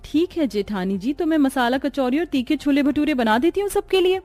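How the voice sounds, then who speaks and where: Woman acting a high, plaintive young daughter-in-law. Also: lively intonation, fairly quick, a little mellow, soft background music. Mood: polite, eager. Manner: polite, plaintive. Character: overworked young new daughter-in-law, dutiful but exasperated.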